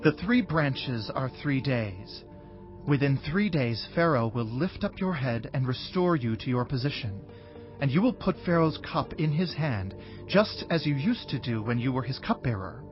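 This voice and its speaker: An actor playing an earnest young Hebrew prisoner in a dramatized scripture reading, speaking in a solemn, measured way. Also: male voice, medium pitch, lively intonation, highs cut off, faint music behind, lightly acted. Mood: solemn, pleading.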